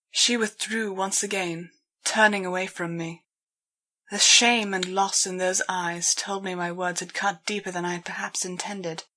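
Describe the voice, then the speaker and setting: A woman reads fiction aloud in the first person. Her voice is mid-pitched, and the delivery is that of dramatic audiobook narration. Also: pushed and bright. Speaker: audiobook reader.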